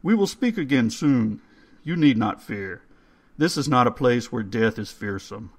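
A man voicing a calm, courteous old male undertaker who speaks in formal, slightly stilted phrases. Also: mid-range voice, measured pace. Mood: soothing.

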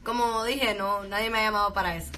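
A woman's voice: medium pitch, fairly even intonation, quick pace, sharp tone, faint music behind. A young woman from Venezuela with a Cuban Spanish accent, chatting casually on a live stream from her bedroom and switching between Spanish and English, with lots of fillers. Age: young adult. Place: bedroom indoors. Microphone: phone held close.

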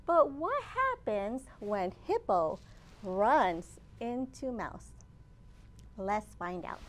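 A woman's voice: medium pitch, very animated, extremely slow. A woman in her thirties hosting a children's storytime, speaking in simple, encouraging phrases to young listeners. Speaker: children's librarian, storytime host. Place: indoors in a library children's area.

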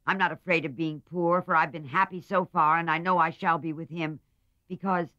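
A man's voice: fairly high pitch, fairly even intonation, moderate pace, sharp tone, no room sound, voice pushed up. A man reading aloud, voicing an earnest young woman who speaks up for her sweetheart. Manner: earnest, pleading. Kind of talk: audiobook character voice. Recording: slightly muffled dry recording.

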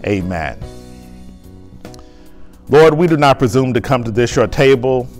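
Male pastor, middle-pitched, fervent sermon delivery and solemn liturgy, lapel mic in a church, soft music under. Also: animated, unhurried. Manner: fervent, emphatic preaching and solemn prayer. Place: church sanctuary, indoors.

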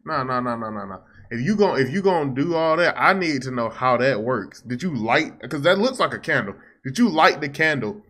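A man's voice: medium pitch, animated, a little fast, hardly any pauses, fairly bright tone. A young American man giving casual commentary as the host of a reaction video.

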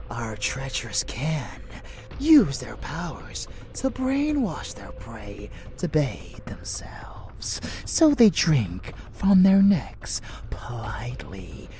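A woman voicing an unhinged, rebellious male vampire lover in an ASMR roleplay, teasing and menacing in a breathy, drawling whisper. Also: extremely low pitch, darkish tone, a little hiss or hum, exaggerated voice.

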